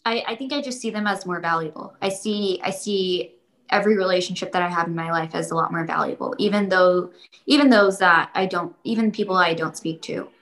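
A woman's voice: lowish voice, fairly quick, fairly bright tone. A young woman answering interview questions casually, rambling and repeating herself with many fillers.

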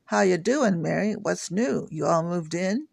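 A blunt, grumbling lab worker from Queens speaks flat and deadpan, as a man reads him aloud. The voice sits a little high and very gravelly, with a dry, close sound and no room around it. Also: measured pace, no room sound, exaggerated voice. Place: home kitchen table. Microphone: laptop setup.

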